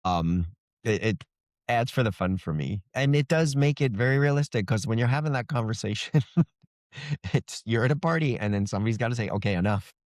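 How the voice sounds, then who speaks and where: A man's voice: medium pitch, a little fast, no echo, clean studio sound. A man being interviewed as an author, explaining things in an informal, loosely phrased, conversational way.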